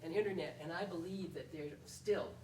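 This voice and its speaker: A woman recalling things conversationally in a group discussion, with measured, slightly hesitant phrasing. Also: lowish voice, a little mellow. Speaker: panel speaker. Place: indoor library event room, far microphone. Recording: roomy, distant event recording with faint noise.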